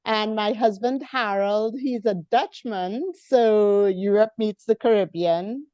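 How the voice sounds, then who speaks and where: A woman from Trinidad and Tobago with a Trinidadian accent, a Bible teacher chatting as a podcast guest over a video call in an unhurried way. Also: mid-range voice, warm tone, no echo, dull audio. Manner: unhurried, laughs easily. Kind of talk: casual interview chat.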